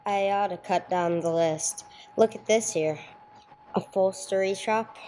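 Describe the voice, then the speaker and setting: A woman's voice: mid-range voice, measured pace, mellow, a little hiss or hum, natural voice. A woman playing a dry, businesslike police detective sergeant in a radio drama, with terse, matter-of-fact delivery. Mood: dry, skeptical. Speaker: narrator and lead actor. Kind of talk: old-time radio police drama, scripted narration.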